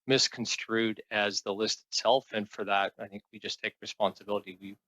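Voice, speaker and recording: Male senior official answering committee questions; low-pitched, bright, formal and diplomatic, halting with repeated words. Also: fairly even intonation. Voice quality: hesitant delivery, frequent stumbles and repeated words. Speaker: senior transport department official.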